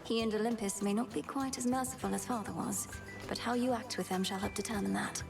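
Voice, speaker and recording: Game casting: young witch princess, formal, softly earnest, measured, faint music underneath. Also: a little high, steady tone, creaky. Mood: earnest, reflective. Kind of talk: scripted game voice acting.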